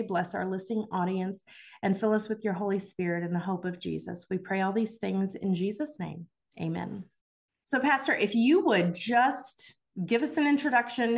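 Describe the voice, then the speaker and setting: A woman hosting a faith interview, opening with a prayer and asking earnest, gentle questions. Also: medium pitch. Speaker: host.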